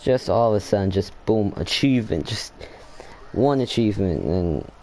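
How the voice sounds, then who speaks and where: A man's voice: fairly low pitch, animated, moderate pace, darkish tone, a little hiss or hum, rough recording. A man casually commenting while playing a game, rambling and thinking aloud with hesitations. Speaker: gameplay commentator. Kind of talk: casual let's play commentary.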